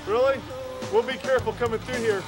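A man's voice: extremely high pitch, steady tone, fast talker, music in the background. A brash, joking man hosting a prank show, doing informal street interviews and commentary on location. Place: on location out in public.

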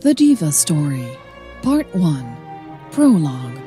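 A woman hosting a podcast and narrating a romance story in a calm, measured, scripted reading. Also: low-pitched, faint music behind.

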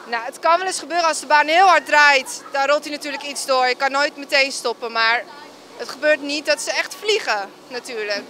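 A woman's voice: high-pitched, brisk, pushed and bright, a little hiss or hum. A woman ski instructor explaining the lessons plainly in an interview. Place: indoor ski hall.

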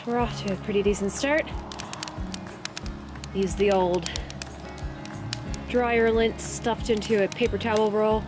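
A woman's voice: fairly high pitch, reverberant. A woman in her thirties chatting casually to a camera as a vlog host.

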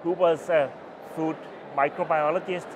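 A middle-aged Danish man with a Danish accent, giving an oral history interview at a conference and talking in halting phrases with many restarts. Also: fairly high pitch, fairly even intonation, noisy background. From Denmark. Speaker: interviewee, mycologist. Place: small booth at a conference, indoors. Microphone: lapel mic.

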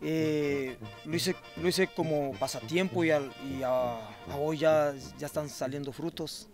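A man from Jalisco, Mexico, a singer answering interview questions outdoors in a hesitant, halting way. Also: on the high side, over music. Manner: hesitant, halting, many restarts. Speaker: singer guest. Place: outdoor fairground patio.

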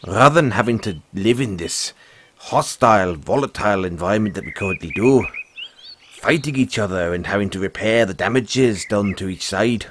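A man voicing a friendly, philosophical dragon rider and gentle mentor in an audio roleplay, speaking in a folksy, earnest, musing way. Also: lowish voice, moderate pace, slight background noise, lightly acted. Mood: thoughtful, persuading. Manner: folksy, earnest, musing, says "aye" and "lad". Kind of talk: audio roleplay addressed to the listener.

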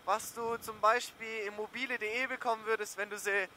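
A man's voice: sits high, flat delivery, brisk, sharp tone. A young man, chatting casually and slangily in a rambling way while riding a motorbike outdoors. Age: young adult. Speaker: motorcycle vlogger. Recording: riding noise, wind and engine. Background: motorcycle engine and road noise.

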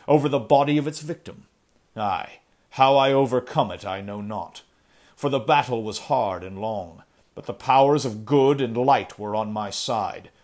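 A man's voice: fairly low pitch, lightly acted. A man voicing a somber male Puritan adventurer who speaks in a solemn, old-fashioned, declaiming way. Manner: solemn, archaic, declamatory speech.

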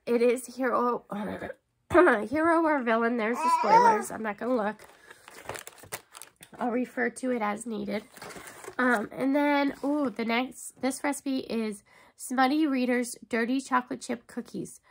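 A woman in her thirties chats excitedly to a close camera in a book-filled room at home, her nose stuffed up. Her voice sits a little high with a gravelly, creaky edge, and a faint hiss lies under it. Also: takes it slowly. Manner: chatty and excitable, many ums and restarts. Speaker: book box unboxing vlogger. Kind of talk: casual unboxing chat. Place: bookshelf-lined room at home.

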